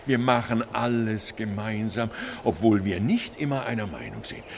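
An actor playing an excitable, bookish retired fairy-tale scholar in a children's radio play, explaining things in a pedantic, animated way. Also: male voice, on the low side, normal speed, gravelly, slight background noise. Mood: chatty.

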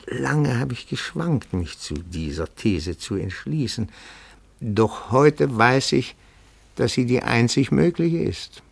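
An actor playing a timid, pedantic petty-bourgeois amateur detective in a radio play, speaking formally and deferentially with meticulous phrasing. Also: male voice, fairly low pitch, vocal fry, dry recording, full character voice.

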